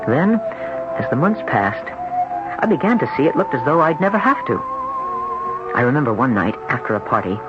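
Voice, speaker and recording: An actor playing a troubled young man who tells his dark story from a hospital bed, with a weary, brooding delivery in 1970s radio drama style, on a dull vintage broadcast recording. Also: mid-range voice, sing-song, moderate pace, pauses often, over loud music, close to an everyday voice. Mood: reflective. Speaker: lead character, radio drama.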